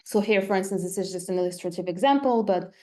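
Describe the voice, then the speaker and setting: Casting: female webinar presenter, mellow relaxed tone, mid-range pitch, methodical step-by-step explainer. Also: fast talker. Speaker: company presenter in a technical webinar.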